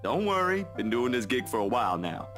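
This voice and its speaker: A man's voice: mid-range voice, sing-song, a little fast, music in the background, natural voice. A man voicing a friendly, humble, burly male photographer in a visual novel, easygoing and polite.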